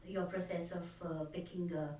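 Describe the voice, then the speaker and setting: A woman's voice: lowish voice, little pitch movement, dark tone, slight background noise. Indian-accented woman hosting in an echoey room. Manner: hesitant, halting with frequent fillers. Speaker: radio show host, interviewer. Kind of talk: radio interview, conversational. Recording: roomy echo, distant microphone.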